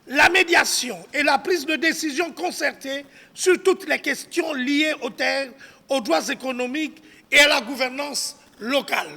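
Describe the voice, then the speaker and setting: A man's voice: very high voice, gravelly, sharp tone. A man solemnly reads out a formal public statement as a civil society spokesman, declaiming and sometimes stumbling over the text. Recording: long reverberation of a hall.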